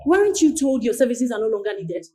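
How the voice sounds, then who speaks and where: An actress playing a frightened, hostile wife who is shrill and demanding. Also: female voice, big pitch swings, quick pace, mellow. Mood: angry. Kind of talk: film drama acting.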